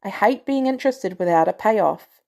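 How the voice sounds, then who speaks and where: A woman's voice: medium pitch, lively intonation, moderate pace, creaky, warm tone. A woman voicing a brusque, commanding female agency director in an audiobook story, blunt and sardonic with an air of authority. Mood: commanding, dry.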